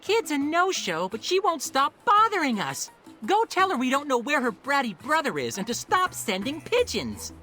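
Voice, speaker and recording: A greedy, bratty martial arts school boss in a video game. Her voice is high and bright, leaping all over in pitch, with light music behind. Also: huge pitch swings, normal speed, exaggerated voice. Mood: irritated. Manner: flippant, exasperated, bratty. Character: brash, money-minded woman running a martial arts school. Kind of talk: video game character acting.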